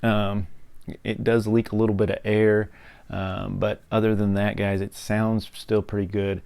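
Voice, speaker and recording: American dude doing a rambly diy review, deepish voice w lots of fry, super informal. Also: male voice, flat delivery, unhurried, darkish tone. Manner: informal, rambling, repeats words for emphasis. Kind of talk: casual hands-on project walkthrough.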